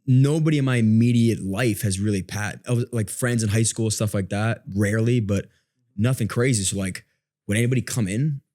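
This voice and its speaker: Low-voiced man in casual podcast chat. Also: brisk, dark tone. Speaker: podcast co-host asking questions.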